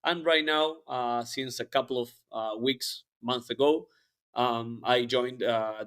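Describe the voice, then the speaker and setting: A man's voice: mid-range voice, a bit bright. A man, speaking English as a podcast guest in a rambling, casual way with many fillers. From Argentina. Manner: rambling, frequent fillers and restarts. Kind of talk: casual interview chat.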